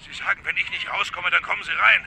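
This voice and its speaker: Agitated, creaky-voiced male businessman amid noise. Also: high-pitched, steady tone, quick pace. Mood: panicked. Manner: agitated, protesting. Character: besieged, indignant businessman, agitated and threatening. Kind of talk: dramatic radio acting.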